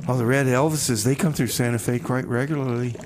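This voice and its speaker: A man's voice: mid-range voice, steady tone, fairly quick. A man hosting a radio music show with casual, slangy banter, often repeating his phrases. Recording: clear background noise.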